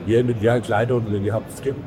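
Man in halting German, crowd behind. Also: fairly low pitch, level tone. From Belgium. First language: not German. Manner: halting non-native German, many fillers and restarts. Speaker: podcast guest, editor of a print-industry film channel. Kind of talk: casual interview chat.

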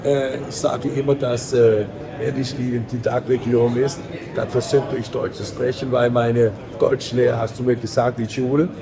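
A man from Belgium, a podcast guest who speaks halting with many fillers and restarts. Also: medium pitch, little pitch movement. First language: not German. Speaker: podcast guest, editor of a print-industry film channel. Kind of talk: casual interview chat. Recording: crowd noise behind.

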